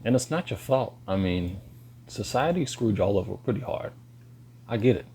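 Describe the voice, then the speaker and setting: A man, lecturing bluntly in a halting monologue to camera. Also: expressive, mellow, slight background noise. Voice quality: deep voice. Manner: slow and halting, pauses and restarts. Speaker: vlogger.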